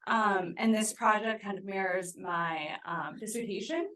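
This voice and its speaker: A woman's voice: mid-range voice, unhurried, a bit bright. A young woman from Venezuela giving an academic conference talk, with many "um" and "kind of" fillers. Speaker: conference presenter.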